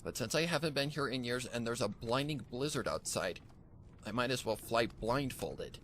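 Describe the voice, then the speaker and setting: A man's voice: medium pitch, animated, moderate pace, fairly bright tone, a little hiss or hum, natural voice. A man voicing a stern, protective pegasus mare in a story reading, firm and urgent in manner. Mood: defeated.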